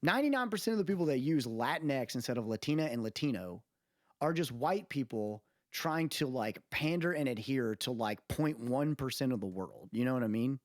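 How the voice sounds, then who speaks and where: A man's voice: mid-range voice, expressive, brisk, no room sound, clean studio sound. A man hosting a podcast in casual, slangy conversation, opinionated and often checking that the listener follows him. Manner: opinionated, slangy, repeatedly seeks agreement with tag questions. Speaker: podcast host.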